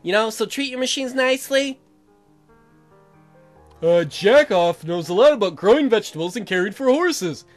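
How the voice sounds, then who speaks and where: A man acts out a colony mayor from a video game. The official is practical, informal and a bit goofy, explaining haltingly in a high, lively voice. Music plays underneath. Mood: official, helpful.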